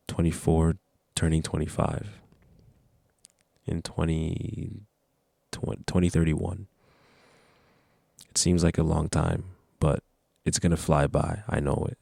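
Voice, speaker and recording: Young man, very low voice, flat delivery, earnest and rambling, dry close sound. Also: a little slow, creaky, slightly rough, no room sound. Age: young adult. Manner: earnest, motivational, rambling with restarts. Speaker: vlogger. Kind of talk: casual talk to camera.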